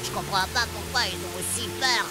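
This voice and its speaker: A woman's voice: mid-range voice, fairly quick, loud music behind the voice, lightly acted. A woman playing a plucky, impatient little boy adventurer in a French children's cartoon. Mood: impatient, urging.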